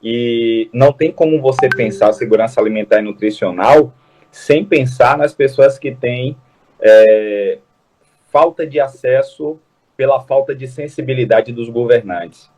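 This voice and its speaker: A man's voice: medium pitch, unhurried, darkish tone. A man speaks earnestly as a guest on a talk show, explaining his activism in a casual conversational way. He often stumbles and restarts his phrases mid-sentence. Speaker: guest, food security activist.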